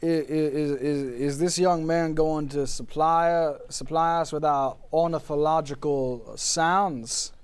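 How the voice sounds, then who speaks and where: A man playing a pompous, bemused dead nineteenth-century writer brought back for a comedy talk show, speaking haltingly in wordy, old-fashioned diction. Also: fairly high pitch, steady tone, clean tone. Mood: bemused, curious.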